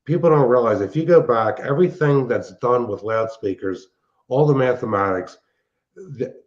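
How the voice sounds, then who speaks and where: A man's voice: mid-range voice, expressive, moderate pace. An older man chatting casually and technically, explaining things in a rambling way as a show host and hobbyist. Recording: dull, band-limited sound.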